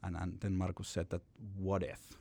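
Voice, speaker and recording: A man's voice: low-pitched, completely flat delivery, moderate pace, darkish tone. A man, a company founder telling his story in an interview, often repeating words as he goes. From Finland. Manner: rambling with frequent repeated words. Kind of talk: podcast interview answer.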